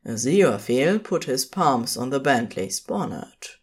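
A narrator reading scripted fan fiction as intimate storytelling, with deliberate and expressive phrasing. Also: mid-range voice, husky.

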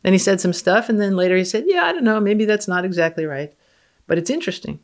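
Offhand woman with mid-low voice, podcast guest. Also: expressive, fast. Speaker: physicist guest reading studies aloud. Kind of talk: reading aloud and casual commentary.

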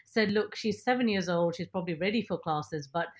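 A woman's voice: fairly low pitch, fairly quick. A woman who grew up in Nigeria and later England, talking emphatically and at length as a podcast guest telling her personal story. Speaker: podcast guest, broadcaster and film critic.

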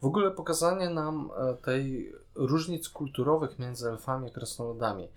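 A man co-hosting a podcast, giving a casual, enthusiastic series review with hesitant pauses. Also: mid-range voice. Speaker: podcast co-host.

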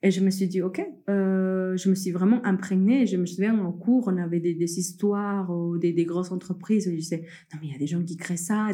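A woman's voice: on the low side, mellow. A young woman from Peru, a guest in a casual interview, talking with many fillers and restarts and laughing often. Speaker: guest, entrepreneur.

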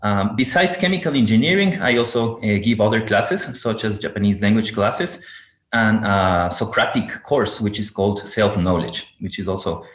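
Low-voiced man from Ecuador, hesitant, echoey room. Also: normal speed, fairly bright tone. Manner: hesitant, many fillers and restarts. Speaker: podcast guest, university teacher. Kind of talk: casual interview talk.

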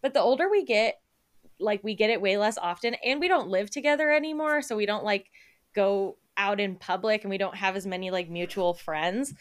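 A woman's voice: medium pitch, fairly quick. A young woman co-hosting a podcast, chatting casually with many fillers and frequent laughs. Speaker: podcast co-host and editor. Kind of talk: casual chat.

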